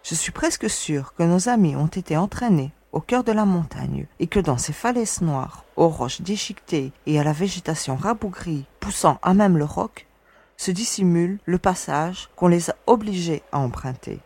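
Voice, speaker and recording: A woman acting a resolute young space explorer who reasons calmly and gives orders. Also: on the low side, moderate pace, slightly rough. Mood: steady, reasoning. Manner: calm, reasoning, commanding. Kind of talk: audio drama acting.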